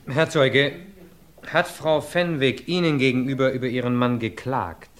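A man's voice: mid-range voice, fairly even intonation, unhurried, fairly bright tone, lightly acted. A man playing a polite defense lawyer. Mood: formal. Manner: polite, formal. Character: calm male defense lawyer.

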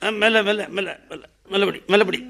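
A groaning, gravelly-voiced injured patient. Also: male voice, high voice, rapid-fire, bright, forceful tone, full character voice. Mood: pained. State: in pain, moaning.

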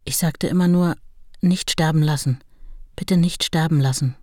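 A woman's voice: low-pitched, moderate pace, dark tone, no room sound, natural voice. Woman playing long-suffering wife, plain, understated, radio drama recollection, very dry acoustic. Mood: sorrowful, restrained. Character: writer's long-suffering wife recalling their life, plain and sorrowful. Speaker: actress in a radio play.

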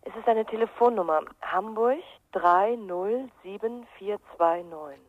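Over a narrow, tinny phone line comes a woman of middling pitch, a reserved caller in a radio drama. She leaves her message in a businesslike way. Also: a little slow, a little hiss or hum. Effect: telephone filter. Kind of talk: German radio drama acting.

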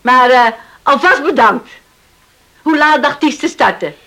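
High-voiced, earnest farm parent. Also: female voice, expressive, a little slow, pushed and bright, exaggerated voice. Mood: coaxing. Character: resolute farmer parent pleading for a son. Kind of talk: Dutch radio play acting.

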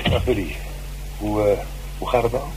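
A man's voice: on the low side, normal speed, lightly acted. An actor playing an awkward, cautious male lawyer, hesitant and mumbling, in a radio drama. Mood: uneasy. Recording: hissy old radio recording.

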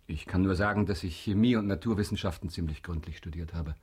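Radio drama lead: calculating aristocrat, low husky voice, courteous, deliberate, quietly insinuating. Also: male voice. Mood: suave, calculating. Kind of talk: German radio drama acting.

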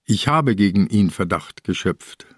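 Radio crime drama: stubborn old inspector, ailing and insistent, very slow delivery, dry studio sound. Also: male voice, low-pitched, animated, breathy edge, no room sound, heavily acted. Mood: brooding, insistent. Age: older. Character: old, sick, stubborn male police inspector.

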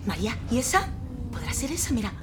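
A woman's voice: fairly high pitch, sing-song, fast, a little hiss or hum. A woman acting in an audio drama as a kind traveler who soothes a frightened child in colloquial speech. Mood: reassuring.

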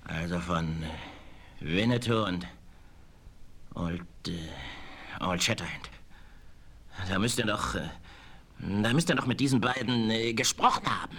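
An actor playing a pompous, vengeful villainous general in a German western radio play, indignant and easily flustered. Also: male voice, sits low, expressive, a little slow, long pauses, a bit bright, slight background noise, heavily acted. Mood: uneasy, evasive. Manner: pompous, indignant, stammering when cornered. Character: blustering, vengeful villainous general in the Old West.

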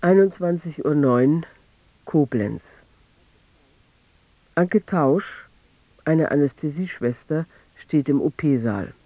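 An actor narrating a literary radio drama as a detached observer, measured and mellow. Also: male voice, on the high side, lively intonation. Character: detached male narrator noting times, places and people.

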